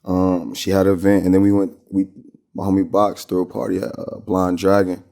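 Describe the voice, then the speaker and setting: Male American rapper as interview guest, low register, informal slang, loose rambling delivery. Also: little pitch movement, brisk, gravelly, warm tone. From Minnesota, United States. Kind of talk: casual interview chat.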